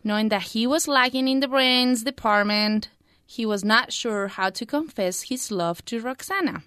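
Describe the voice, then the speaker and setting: Clear young woman, close dry sound. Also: fairly high pitch, moderate pace, fairly bright tone, dry recording. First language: Spanish. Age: 20s. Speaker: student book reviewer. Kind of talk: prepared book review.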